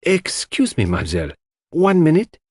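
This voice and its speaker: A man's voice: fairly high pitch, huge pitch swings, a little fast, a little mellow, slightly rough, no echo, voice pushed up, full character voice. A man voicing a shrewd, courteous foreign detective in an audiobook, speaking in a formal, precise, explanatory way. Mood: polite, inquiring. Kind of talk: audiobook character dialogue.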